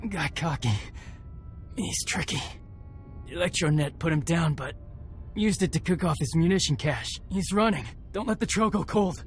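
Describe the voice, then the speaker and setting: A man's voice: a little high, normal speed, close to an everyday voice. A man voicing a stoic, earnest young warrior in a video game, speaking in clipped phrases. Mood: strained, urgent. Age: young adult. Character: determined, stoic young male Mandalorian warrior. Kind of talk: dramatic video game dialogue. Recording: faint game music behind the voice.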